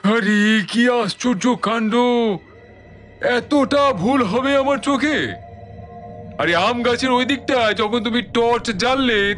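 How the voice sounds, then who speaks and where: Casting: elderly village uncle, very high voice, rambling and exclamatory, audio drama with faint music behind. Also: male voice, a bit bright. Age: older. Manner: rambling, exclamatory, repeating words and stammering. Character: old village uncle, chatty, astonished, doubting his eyesight. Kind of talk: Bengali audio story acting.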